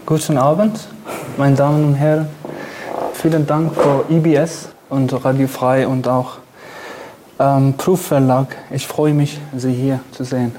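Man, Kurdish accent, author speaking to an audience, slow halting German, dark mellow voice, faint room noise. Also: medium pitch, level tone. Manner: halting, searching for words, self-deprecating. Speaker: author and satirist. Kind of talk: reading event talk. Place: event venue with audience.

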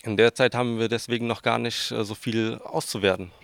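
A man's voice: mid-range voice, fairly even intonation, brisk, vocal fry, fairly bright tone, dry recording. A man in his twenties with a southern Hessian German accent, answering interview questions informally and a little hesitantly. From southern Hesse, Germany. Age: 20s. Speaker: interviewee, student researcher.

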